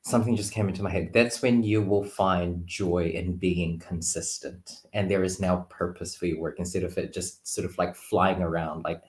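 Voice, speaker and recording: A man's voice: low voice, steady tone, normal speed, few pauses, a little mellow. A man guesting on a card reading, chatting casually in a rambling way full of fillers. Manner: rambling, filler-heavy, uses "like" often. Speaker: guest tarot reader. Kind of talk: casual chat. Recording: echoey, roomy sound.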